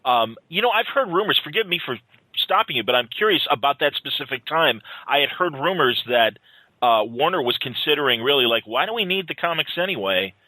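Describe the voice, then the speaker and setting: A man's voice: on the high side, lively intonation, fairly quick, sharp tone, no echo, poor audio. A man hosting a casual interview, chatting deferentially and putting in short reactions. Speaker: podcast interviewer.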